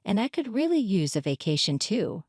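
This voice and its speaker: A woman's voice: mid-range voice, big pitch swings, moderate pace, darkish tone, dry recording, clean studio sound. A woman narrator playing an indignant, hurt wife in a story, confrontational and pleading. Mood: hurt, demanding. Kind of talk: dramatized story reading.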